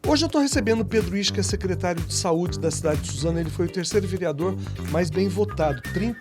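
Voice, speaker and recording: A man's voice: high-pitched, animated, fast, over music. A man hosting a podcast, chatty and opinionated, stuffed up from a cold. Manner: chatty, opinionated, jokey asides. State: congested with a cold. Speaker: podcast host. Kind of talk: casual talk show chat.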